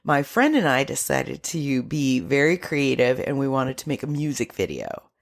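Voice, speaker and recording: A woman co-hosting a podcast in casual movie banter, giggly and animated, slipping into mock voices. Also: rumbling low, normal speed, vocal fry. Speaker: podcast co-host.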